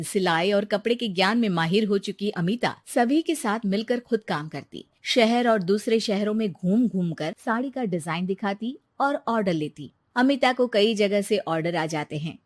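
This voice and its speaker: A woman narrating a cartoon story in an even, measured way on a close studio mic. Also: mid-range voice, dry recording.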